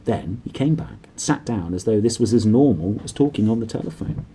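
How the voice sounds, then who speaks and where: A man reading a storybook aloud as an expressive narrator. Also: fairly low pitch, brisk, slight background noise. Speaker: audiobook reader.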